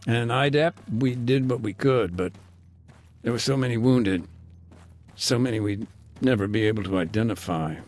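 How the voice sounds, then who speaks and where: An actor playing a weary male aid worker who recounts events in a measured, laconic way during an interview in a video game. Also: mid-range voice, darkish tone, slight background noise, natural voice. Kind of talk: game cutscene dialogue.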